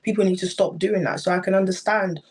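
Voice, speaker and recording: A woman guest speaks candidly and earnestly on a political panel. She often restarts her phrases before pressing on. Her voice is mid-pitched. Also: fairly even intonation, quick pace, a little mellow, breathy edge.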